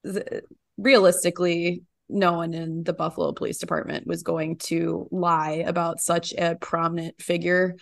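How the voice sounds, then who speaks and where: Woman host with heavy vocal fry. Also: on the low side, flat delivery, moderate pace, dry recording, studio quality. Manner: informal, rambling, reactive. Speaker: podcast host. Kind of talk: casual podcast interview chat.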